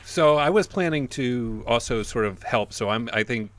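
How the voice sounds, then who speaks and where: A man's voice: mid-range voice, lively intonation, normal speed, noisy background. A man playing a tabletop game, casually describing his character's moves and speaking his lines.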